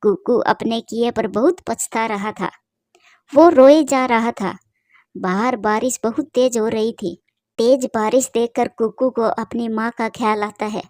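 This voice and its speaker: A woman's voice: fairly high pitch, a little mellow, no echo, studio quality. A woman storyteller reading scripted narration for a cartoon tale.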